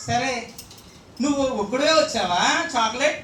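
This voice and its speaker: A woman's voice: high-pitched, lively intonation, moderate pace. A woman with a Telugu Indian accent hosting a live children's puppet show, asking questions and repeating lines to rally the children. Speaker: host of a children's Bible school puppet show. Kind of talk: live interactive children's presenting. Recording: echoey hall, distant mic, crowd and noise. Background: crowd of children.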